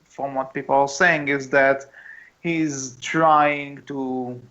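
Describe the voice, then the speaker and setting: A man's voice: mid-range voice, fairly even intonation, takes it slowly, bright, forceful tone. A man co-hosting a podcast, discussing casually and readily arguing his point. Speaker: podcast co-host. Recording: dull lo-fi home mic.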